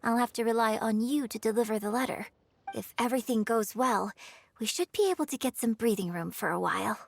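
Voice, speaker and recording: A woman voicing a composed, shrewd and caring young woman in a video game, speaking in a measured, poised way. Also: a little high, vocal fry. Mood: concerned, resolute. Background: music.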